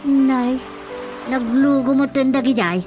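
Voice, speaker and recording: A woman acting a defiant, fed-up wife in a radio drama, sharp-tongued and confrontational. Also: high voice, steady tone, slow pace, music in the background. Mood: concerned, discussing. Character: defiant, fed-up battered wife standing her ground.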